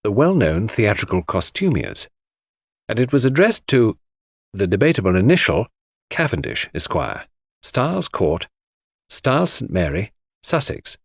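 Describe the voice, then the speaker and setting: A male audiobook narrator with a husky voice and very animated intonation. He reads classic mystery prose in a measured, dramatic way, recorded close and dry. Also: fairly low pitch, dry recording. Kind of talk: scripted first-person mystery narration.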